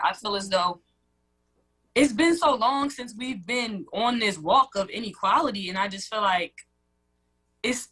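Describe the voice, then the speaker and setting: A woman's voice: mid-range voice, pushed and bright, husky, low-quality recording. A woman in her early twenties giving her views as a guest in a radio interview, earnest and conversational. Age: early 20s. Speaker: student guest.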